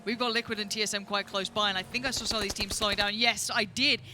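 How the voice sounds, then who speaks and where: A man casting a live esports match on a headset, getting excited and shouting when fights break out. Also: sits high, fast talker, over music. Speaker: esports caster.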